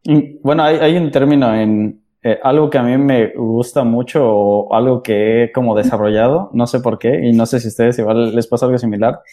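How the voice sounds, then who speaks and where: A man hosts a relaxed conversation in a mellow, warm voice of middle pitch. He laughs along with his guests and muses aloud as he goes. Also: moderate pace, few pauses. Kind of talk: casual chat.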